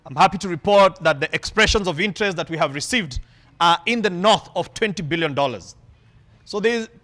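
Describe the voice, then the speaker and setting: A man giving a formal keynote address in a large hall, speaking in an emphatic, measured way with frequent pauses. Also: sits high, pushed and bright.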